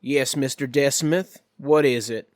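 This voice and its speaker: A man's voice: medium pitch, measured pace, dry recording, studio quality, natural voice. Man playing a folksy, commanding crime boss. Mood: genial authority. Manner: drawling folksy phrasing, polite authority.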